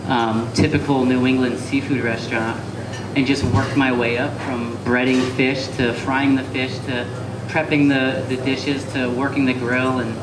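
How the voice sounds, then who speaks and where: A young man from Connecticut talks through his story in a relaxed, wandering way, with plenty of ums. He is recorded live in a cafe that echoes around him. A steady hum of room noise sits under his voice. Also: medium pitch, unhurried, few pauses, distant mic. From Connecticut, USA. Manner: relaxed and rambling, with many fillers. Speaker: chef, interview guest. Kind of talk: casual interview answers. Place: live talk event in a cafe.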